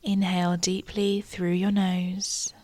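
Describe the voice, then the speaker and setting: A woman leading a guided meditation in a soft, breathy, near-whispered voice, calm and soothing, with measured phrasing and long pauses. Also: fairly low pitch.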